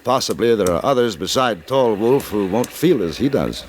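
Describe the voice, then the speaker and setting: A man's voice: fairly low pitch, expressive, moderate pace, slight background noise, close to an everyday voice. A man playing a composed former cavalry officer who narrates his adventure in an old-time radio western, with an understated, dryly laconic manner. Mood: wary, wry. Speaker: lead actor and first-person narrator. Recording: old radio recording with music.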